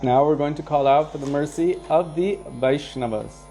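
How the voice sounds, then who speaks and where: Relaxed man telling devotional stories. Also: on the high side, moderate pace, faint music behind. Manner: relaxed, anecdotal, chuckles now and then. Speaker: kirtan leader and devotional teacher. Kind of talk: casual devotional talk answering viewer questions.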